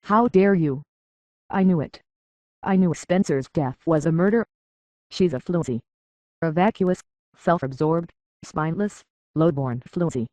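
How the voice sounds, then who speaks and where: A woman's voice: low voice, measured pace, long pauses, dark tone, dry recording, dull audio. A woman voicing a haughty, petulant rich heiress in a robot body for a video game, with pompous, scornful, theatrical diction through a metallic filter. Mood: scornful, accusing.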